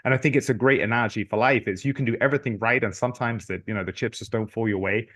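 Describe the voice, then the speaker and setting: A middle-aged man talking as a guest on a podcast. He speaks fast and earnestly. He keeps restarting his sentences and repeating words as he goes. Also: medium pitch, fairly bright tone. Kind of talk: casual interview talk.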